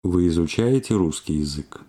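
Casual: deep mellow guy reading language drills, slow and super clearly spoken. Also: lively intonation. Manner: slow, careful, clearly enunciated. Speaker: language course reader.

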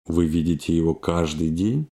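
Low, dark-voiced man reading drills. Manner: slow, careful, clearly enunciated. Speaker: language course reader. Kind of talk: scripted language drill reading.

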